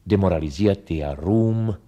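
A man narrating a scripted radio drama with dry, measured irony. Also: sits low, dark tone, dull audio.

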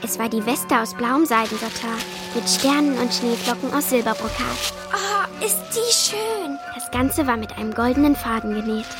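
A woman's voice: on the high side, lively intonation, normal speed, music in the background, lightly acted. A female performer playing an eager, curious schoolgirl who tells her story in a children's radio play. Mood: delighted. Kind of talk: children's radio drama acting and narration.

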